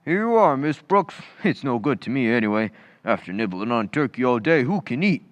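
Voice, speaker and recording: A man's voice: mid-range voice, big pitch swings, fairly quick, no room sound, natural voice. A man playing a dim, good-natured teenage busboy in radio comedy, with earnest, folksy, ungrammatical speech. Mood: earnest, confused. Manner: folksy, ungrammatical, earnest gee-whiz delivery. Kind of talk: old-time radio comedy acting.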